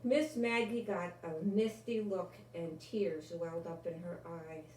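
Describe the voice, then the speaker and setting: Slow-reading older woman in an echoey room. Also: lowish voice, steady tone, a little mellow. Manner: unhurried, explains the pictures in simple words. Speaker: storyteller reading a children's picture book aloud. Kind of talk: expressive read-aloud narration for children. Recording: roomy, distant mic with faint noise.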